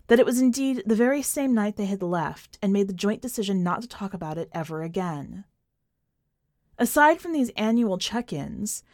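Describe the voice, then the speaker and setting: A woman reading a short story in an expressive, scripted narration style. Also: medium pitch, dry recording, studio quality. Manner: expressive, acts out dialogue.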